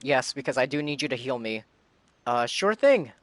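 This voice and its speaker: A man's voice: medium pitch, big pitch swings, moderate pace, fairly bright tone, no echo. A man reacting to a game on a live stream, chatting casually as he plays.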